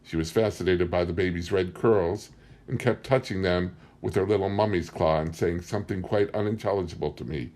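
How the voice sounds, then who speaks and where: A man's voice: low voice, fairly quick, darkish tone. A man reading a book aloud as scripted story narration. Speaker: audiobook reader.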